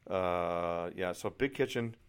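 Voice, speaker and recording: A man's voice: low voice, slow pace, clean tone. A middle-aged American man vlogging in a casual, anecdotal way, rambling and exasperated, with some mild swearing. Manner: rambling, exasperated, mildly profane.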